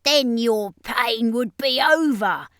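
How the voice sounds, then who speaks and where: A woman voicing a taunting demonic vampire brother in an audiobook, with slow, drawn-out words and a breathy, whispery edge. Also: fairly high pitch, fairly bright tone, no echo, studio quality, voice pushed up. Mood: sinister taunting.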